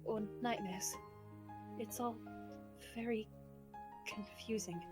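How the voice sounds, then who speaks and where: A woman voicing a devoted, worried newlywed wife, refined and formal, speaking gently and in a measured way in an audio roleplay. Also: a little high, steady tone, over music. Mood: wistful, uneasy.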